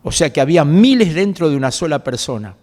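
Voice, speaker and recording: Argentine-accented older man, higher-pitched and echoing. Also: sing-song, a little mellow. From Galicia, Spain. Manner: quotes scripture at length, fills pauses with "este". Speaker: pastor guest. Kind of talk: conversational preaching.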